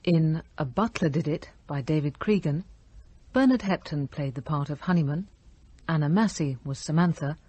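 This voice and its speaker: A woman makes a formal scripted announcement. Her voice is low, mellow and husky, in a dry studio sound. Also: normal speed, dry recording. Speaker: continuity announcer.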